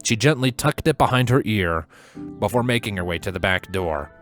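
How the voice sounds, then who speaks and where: A man narrating a scripted story in a measured, dramatic storytelling manner. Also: on the low side, animated, fairly bright tone. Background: music.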